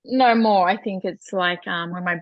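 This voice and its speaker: A woman's voice: fairly low pitch, moderate pace, dry recording, lo-fi sound. An Australian woman in her forties, a coach being interviewed, who answers in a casual, hesitant way with many fillers. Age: 40s. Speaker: podcast guest, coach.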